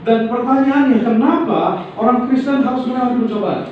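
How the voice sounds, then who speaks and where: A fairly high-pitched man preaches to his congregation, calling out phrases for them to echo back and cueing their amens. His voice booms around a very echoey church hall, caught from a distance on a dull, slightly muffled recording with faint noise underneath. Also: fairly quick. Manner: call-and-response, prompting the congregation to repeat and say amen. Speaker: pastor. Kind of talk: sermon. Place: church sanctuary.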